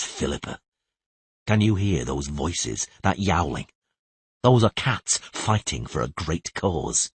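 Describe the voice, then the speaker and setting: A man voicing a wounded monster hunter in a fantasy audiobook, slow, bitterly sarcastic and coldly angry. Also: low-pitched, husky, dry recording, voice pushed down, exaggerated voice. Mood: cold sarcasm. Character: wounded male monster hunter, bitter, sardonic, cold anger.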